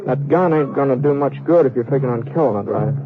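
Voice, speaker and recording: An actor playing an easygoing American cowhand who tells his trail story in a halting, folksy way, in a 1950s radio western. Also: medium pitch, phone-quality sound, close to an everyday voice. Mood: calm, soothing. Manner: folksy, halting, repeats words. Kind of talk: 1950s radio western acting, first-person narration. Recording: old band-limited radio recording with music.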